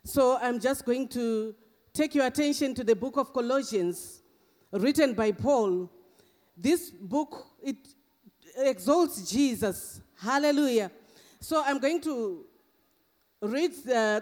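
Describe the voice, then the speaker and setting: Middle-aged Zimbabwean-accented man preaching, booming hall. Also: slow, darkish tone. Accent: Zimbabwean, mixed with English and Australian. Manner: emphatic, punctuated with frequent hallelujahs. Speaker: guest preacher. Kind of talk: sermon. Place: church. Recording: long church reverb.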